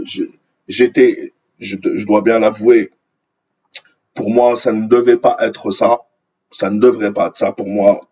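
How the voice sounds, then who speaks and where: Husky Algerian man in indignant commentary. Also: fairly low pitch, darkish tone, lo-fi sound. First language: Arabic. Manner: indignant, repeating phrases for emphasis. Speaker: online commentator. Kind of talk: opinionated commentary on news articles read aloud.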